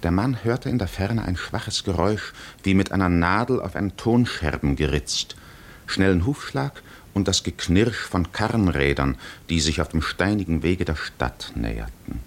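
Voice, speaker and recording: An actor playing the solemn narrator of an ancient epic in a 1950s radio drama, with deliberate, poetic delivery. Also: male voice, low voice, lively intonation.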